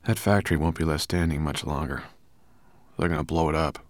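A man's voice: extremely low pitch, fairly quick, no room sound, studio quality. Man as a shy, eager young boy. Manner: polite, eager.

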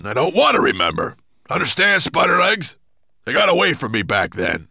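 A man's voice: on the high side, wildly swooping pitch, moderate pace, sharp tone, slightly rough, no echo, studio quality, voice pushed up, heavily acted. A man voicing a huge, furious dragon master in a storybook, roaring, snarling and growling with menace and impatience. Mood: menacing growl.

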